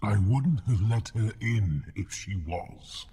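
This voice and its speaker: Fire demon, sly, wry, very lively intonation, long reverb, radio drama. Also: male voice, on the low side, slow pace. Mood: dry. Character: sly bargaining male fire demon bound to a hearth.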